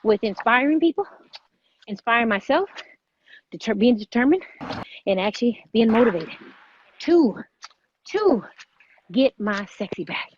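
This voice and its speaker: A woman's voice: on the high side, lively intonation, measured pace, pauses often. A woman hosting a motivational live vlog, speaking emphatically and repeating phrases for stress. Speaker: vlog host, fitness motivator. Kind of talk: motivational livestream chat. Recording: muffled, noisy live-stream audio.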